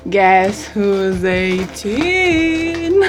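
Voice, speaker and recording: A woman's voice: mid-range voice, sing-song, extremely slow, faint music behind. An eighteen-year-old woman from Europe, English not her first language, chatting casually in a rambling, giggly, self-deprecating vlog. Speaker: vlogger. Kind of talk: casual birthday vlog chat.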